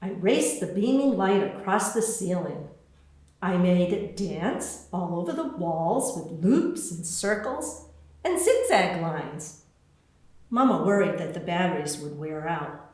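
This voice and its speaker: Female children's book reader, unhurried and very animated in pitch, heard in a strongly reverberant room. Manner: expressive, deliberate storytelling with spaced phrases. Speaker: author reading her own book. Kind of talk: children's picture book read-aloud. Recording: very echoey room.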